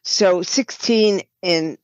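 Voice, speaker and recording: An older woman, talking reflectively as an interview guest and pausing mid-phrase to choose her words. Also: on the low side, clean tone, no echo, muffled recording. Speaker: podcast guest, writer and producer.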